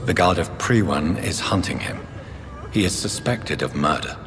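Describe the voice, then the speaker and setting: Guy acting earnest british gentleman vampire doctor, deep voice, formal, video game scene w/ big reverb and music. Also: normal speed, natural voice. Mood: urgent, troubled. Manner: formal, polite, probing. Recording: long hall reverb, loud music behind.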